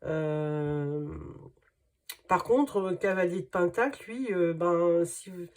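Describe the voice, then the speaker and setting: A woman's voice: on the low side, takes it slowly, a little mellow. A woman with a cold and a nasal voice giving a tarot reading to her viewers, hesitant and full of fillers. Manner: hesitant, many fillers and restarts. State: has a cold. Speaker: tarot card reader.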